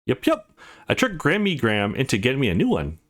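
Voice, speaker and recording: A man's voice: mid-range voice, huge pitch swings, a little fast, fairly bright tone. A man voicing a cocky, teasing young woman gamer from a visual novel, with a brash, taunting manner. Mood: sly. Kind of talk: visual novel dialogue read aloud.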